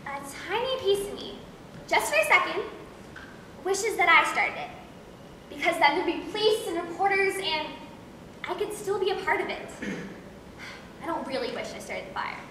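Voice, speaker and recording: A woman's voice: extremely high pitch, animated, moderate pace, long pauses, pushed and bright, full character voice. A woman acting a lonely, wistful girl in a confessional, reflective amateur stage monologue. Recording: echoey hall, distant mic, noisy.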